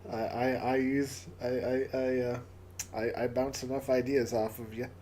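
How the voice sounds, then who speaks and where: Hoarse, raspy man rambling on stream. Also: mid-range voice, little pitch movement, warm tone, slight background noise. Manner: halting, stumbles and repeats words. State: hoarse from coughing. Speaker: livestream host. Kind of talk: casual live-stream chat with a closing prayer.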